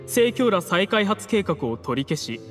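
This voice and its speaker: 冷酷で慇懃な策士の役人. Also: male voice, high-pitched, very animated. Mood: calculating menace. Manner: courteous, measured, menacing formal speech. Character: coolly ruthless scheming male official, polite betrayer. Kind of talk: dramatic game cutscene acting in Japanese anime manner. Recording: long reverb, music underneath.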